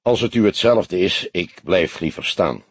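A man's voice: low voice, unhurried, husky, dry recording. A man voicing a grizzled older detective in an audiobook, dry and stubborn, with a laconic, mocking manner. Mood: cool, stubborn. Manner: laconic, sardonic. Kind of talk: audiobook character voice.

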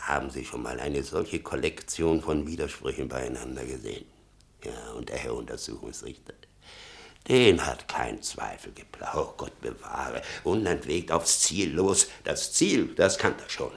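An actor playing a bitter, sarcastic old father in a German radio play, rambling and muttering with a gravelly voice. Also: rumbling low, animated, unhurried, exaggerated voice. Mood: scornful, then agitated, sarcastic. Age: older. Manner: rambling, sardonic, muttering and flaring up.

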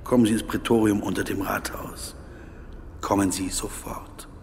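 Hulking thug in an echoing hall. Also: male voice, lowish voice, flat delivery, normal speed, gravelly. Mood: curt, commanding. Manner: menacing, humorless. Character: Hammer, hulking uncouth male thug, menacing killer. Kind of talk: German radio drama acting. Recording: long echoing hall with faint background noise.

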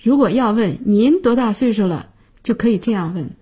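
A woman presenting a scripted radio language lesson, explaining and addressing listeners in a clear, instructive way, recorded on a studio mic. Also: medium pitch, lively intonation, normal speed, dark tone.

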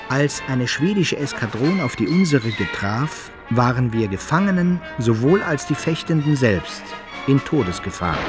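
An actor playing an adventurer who narrates his own wartime misfortunes in a literary radio play, with a husky voice. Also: mid-range voice. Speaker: first-person narrator.

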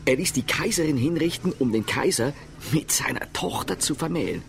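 An actor playing a cultured, whimsical artist with a wryly genteel manner in a radio drama. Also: male voice, a little high, lively intonation, fairly quick, creaky, a little hiss or hum. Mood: amused, enchanted.

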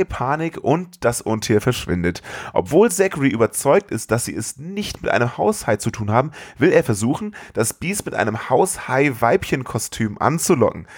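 A man's voice: medium pitch, no echo, clean studio sound. Casual male podcast host recapping a film.

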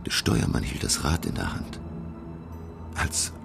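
An actor playing a thoughtful middle-aged professor, narrating his sea voyage in a measured, literary style for a radio drama. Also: rumbling low, huge pitch swings, gravelly, over music, heavily acted. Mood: hushed, haunted.

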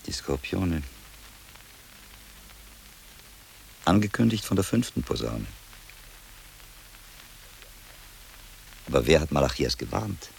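Shrewd scholarly monk-detective for radio drama: very low, gravelly, pondering delivery, faint hiss behind. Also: male voice, big pitch swings, exaggerated voice, rough recording. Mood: brooding, alert. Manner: deliberate, pondering, probing. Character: learned, shrewd older monk, sharp-minded master and investigator. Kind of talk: German radio drama dialogue.

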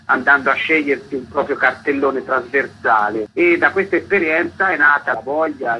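A man with a bright, forward voice at an average pitch explains things as an interviewed festival curator. He talks in long, linked sentences. The sound is dull and lo-fi, with faint noise. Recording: dull lo-fi radio connection, clear noise.

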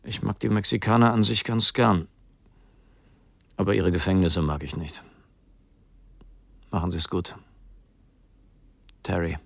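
A man's voice: deep voice, fairly even intonation, measured pace, gravelly, long pauses, no room sound, exaggerated voice. An actor playing a resigned, frightened man on the run, voicing his farewell letter in a subdued, melancholy way in a radio play. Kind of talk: radio play monologue, letter read in character.

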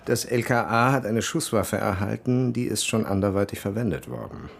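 An actor playing a discreet, guarded police investigator who shares information confidingly, in radio drama dialogue. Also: male voice, on the low side, moderate pace, gravelly, slight background noise. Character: discreet male police investigator leaking information, mildly flirtatious.

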